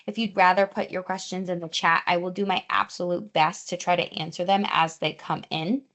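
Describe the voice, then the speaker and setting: A woman's voice: fairly low pitch, steady tone, brisk, a bit bright. A young adult woman, a dietitian, leading a live online cooking class in a chatty, friendly way with many fillers, recorded over a video call. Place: home or teaching kitchen.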